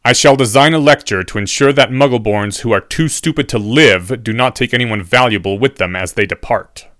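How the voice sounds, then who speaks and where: A man's voice: medium pitch, a little fast, a bit bright, dry recording, clean studio sound, natural voice. A man voicing a menacing, sardonic professor in an audiobook story, formal and biting in his speech. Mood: grim, resigned.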